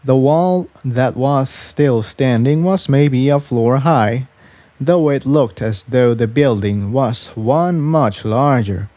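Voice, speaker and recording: Unhurried, mellow male story narrator. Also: mid-range voice, dry recording.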